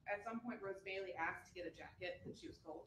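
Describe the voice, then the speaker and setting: A woman attorney questions a witness in a courtroom, pressing leading questions and pausing on small ums. Her voice sits in a middle range and carries the room's echo, with a faint hum of ambience underneath. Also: medium pitch, fairly bright tone. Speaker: defense attorney. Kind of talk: courtroom cross-examination. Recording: echoey courtroom audio.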